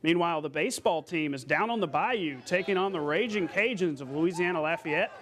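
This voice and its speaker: A man's voice: a little high, expressive, brisk, a bit bright. An American man anchoring a local TV sportscast, reading scripted news with playful, conversational asides.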